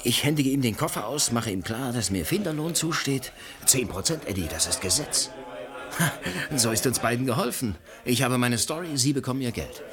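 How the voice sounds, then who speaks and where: Man acting a glib, animated newspaper reporter. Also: mid-range voice, normal speed, crowd noise, natural voice. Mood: persuasive. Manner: persuasive, cocky. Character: glib, ambitious, debt-ridden newspaper reporter. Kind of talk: radio play acting.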